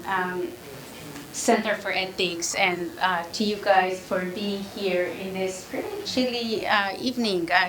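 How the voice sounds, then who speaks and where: A woman with an Israeli accent lectures slowly from a podium, pausing often on fillers. Her voice rings in an echoey hall. A faint room hum sits beneath her. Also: medium pitch, fairly bright tone. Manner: halting, many fillers. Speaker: guest speaker, professor. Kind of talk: public lecture.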